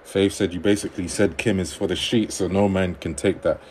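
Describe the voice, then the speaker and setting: A man hosting a livestream on dating advice, reading viewer comments aloud and answering them in a relaxed conversational way. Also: sits low, brisk, slight background noise.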